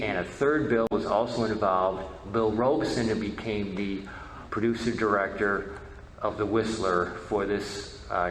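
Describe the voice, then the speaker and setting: Male presenter, lowish voice, halting informal lecture delivery, recorded in an echoey room with faint noise. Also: a bit bright. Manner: halting, repeats and rephrases words. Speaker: presenter, media researcher.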